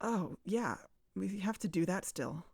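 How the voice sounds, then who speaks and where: A woman's voice: lowish voice, expressive, a little slow, vocal fry, a little mellow, husky, heavily acted. A woman playing a wary, determined young woman, earnest and confrontational, in a naturalistic audio drama. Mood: subdued, uneasy.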